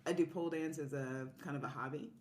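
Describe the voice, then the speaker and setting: A woman's voice: sits low, fast, a little mellow. A woman chatting casually as a podcast guest. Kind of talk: casual chat. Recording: roomy echo with noticeable background noise.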